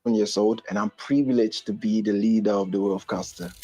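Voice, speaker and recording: A man who leads a youth group, speaking in a measured, speechlike way about young people. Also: lowish voice, steady tone, mellow, faint music behind, rough recording. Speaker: group leader. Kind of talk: testimonial interview.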